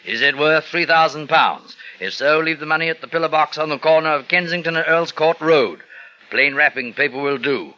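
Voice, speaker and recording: Brisk, sharp-toned inspector, vintage radio. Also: male voice, a little high. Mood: businesslike. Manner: clipped, official, reading a letter aloud on the phone. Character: brisk male Scotland Yard inspector. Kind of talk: 1940s radio acting. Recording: dull old radio recording with music.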